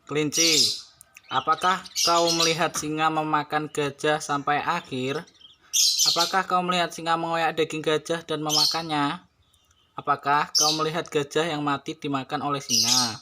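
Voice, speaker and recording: A man's voice: a little high, fairly even intonation, normal speed, very noisy, natural voice. A young man voicing an earnest goat in a puppet-show fable, questioning and explaining emphatically. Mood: challenging. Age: young adult. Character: earnest goat defending a misjudged lion.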